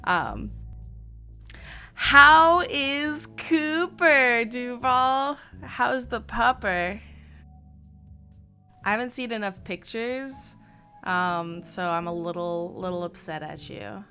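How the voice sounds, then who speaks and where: A woman hosting a casual livestream chat, her voice hoarse after losing it, giggling and chatting to her viewers. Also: fairly high pitch, slow pace, long pauses, pushed and bright, soft background music. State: hoarse, partly lost voice. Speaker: streamer host.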